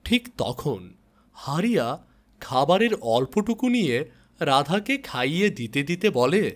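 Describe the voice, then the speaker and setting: Male storyteller, fairly high voice, very varied intonation, dramatic scripted fable narration. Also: big pitch swings, unhurried.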